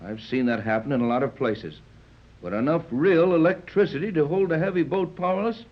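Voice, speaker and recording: An actor playing a practical, skeptical yacht captain in a 1930s radio serial, speaking with firm authority. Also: male voice, a little high, a little fast, slight background noise, close to an everyday voice. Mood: resigned, firm. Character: skeptical, commanding adult male yacht captain, steady and practical. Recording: dull lo-fi 1930s radio recording.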